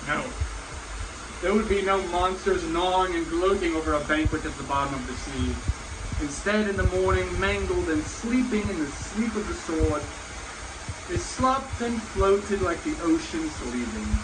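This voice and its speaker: A man's voice: sits high, lively intonation, normal speed. A man playing a boastful heroic warrior, declaiming an epic monologue with proud, dramatic delivery. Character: boastful heroic warrior recounting sea battles. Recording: echoey room, clear background noise.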